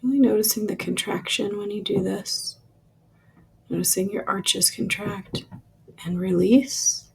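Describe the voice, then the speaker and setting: A woman guides a relaxation meditation at a very unhurried pace. Her voice is heavy with vocal fry and has a husky, breathy edge. She gives gentle instructions in lingering phrases that soften as they trail away. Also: medium pitch.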